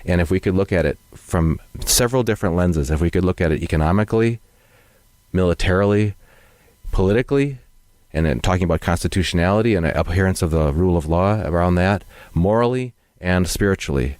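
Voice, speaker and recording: Very low-voiced male interview host. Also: a little mellow, no room sound. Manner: measured, wordy, many fillers. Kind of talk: interview hosting.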